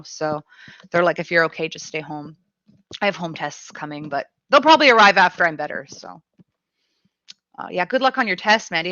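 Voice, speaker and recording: A woman radio host who is sick with a cough, talking frankly and casually and swearing now and then. Her voice is bright and forceful but sits right on the mic, with a dry, dull, lo-fi sound. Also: lowish voice, no room sound. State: sick with a cough and chest tightness. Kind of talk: casual chat reading listener comments. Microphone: close studio mic.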